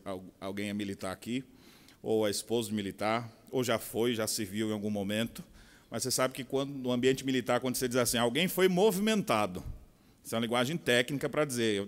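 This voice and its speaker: Bahian pastor preaching in a big hall. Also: male voice, mid-range voice. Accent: Bahian Portuguese. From Brazil. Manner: expressive, swinging from quiet asides to loud slow emphasis. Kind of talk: sermon. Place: large conference hall. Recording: long hall reverb.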